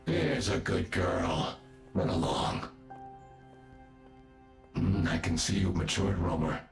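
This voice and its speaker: A captive villain commander from a video game, smug and coldly menacing. His very low, gravelly voice taunts in slow, formal phrases. It echoes under loud music. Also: animated, exaggerated voice. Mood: smug taunting. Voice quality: deep gravelly.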